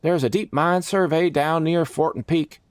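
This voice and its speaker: A man voicing an old male guild clerk, brisk, clipped and businesslike, in a storybook. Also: on the high side, no room sound, voice pushed up, close to an everyday voice. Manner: matter-of-fact, clipped listing. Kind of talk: storybook character dialogue.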